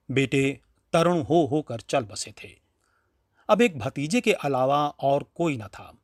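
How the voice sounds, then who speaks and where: Male storyteller, fairly high register, dry close sound; expressive, dramatic reading of literary fiction with measured phrasing. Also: no room sound. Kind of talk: expressive scripted audiobook narration.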